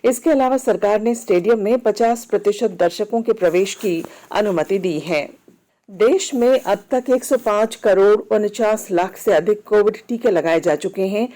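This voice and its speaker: Female news reader, soft-edged warm tone, medium pitch, formal and measured bulletin delivery. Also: low-quality recording.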